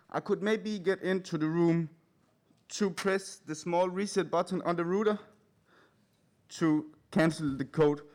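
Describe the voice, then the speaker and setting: A man from Denmark with a Danish accent, giving an informal, anecdotal talk in a nervous, hesitant, halting and giggly way. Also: a little high. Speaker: speaker at a live event. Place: in front of a large live audience.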